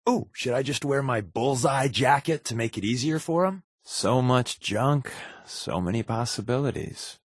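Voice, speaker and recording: Wry, husky undercover agent. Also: male voice, on the low side, dry recording, clean studio sound. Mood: wry, sarcastic. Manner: sarcastic, deadpan, conversational. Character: wry, wisecracking undercover agent, male, secretly wounded. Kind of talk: video game companion dialogue.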